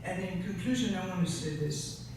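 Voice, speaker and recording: A man in his sixties from Europe, a teacher speaking earnestly at a public forum, with passion and halting restarts. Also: high-pitched. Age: 60s. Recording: echoey room, distant mic, noisy.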